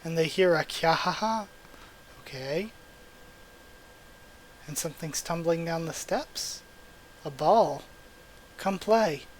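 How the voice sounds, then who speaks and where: A hoarse young man with a cracking voice, hosting a casual manga readthrough and chatting excitedly as he goes. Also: on the high side, slow, pauses often, slight background noise, low-quality recording. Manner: chatty, excitable, thinks out loud. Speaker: channel host reading manga aloud. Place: small room at home. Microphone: gaming headset mic.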